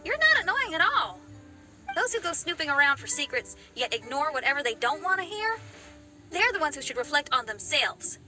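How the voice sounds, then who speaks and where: Bright, high childlike companion. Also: female voice, big pitch swings, normal speed, soft background music, full character voice. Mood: supportive. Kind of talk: anime game voice acting.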